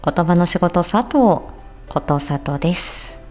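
A woman's voice: lowish voice, measured pace, darkish tone, soft background music. A woman streamer chatting casually in a giggly, bashful, hesitant way as she makes announcements. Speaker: streamer and amateur voice-drama performer.

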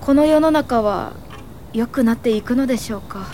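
Radio drama mother: actress as destitute young woman, deferential, anxious, higher voice, clear background noise. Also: normal speed, mellow, natural voice. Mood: despairing. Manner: deferential, fragile.

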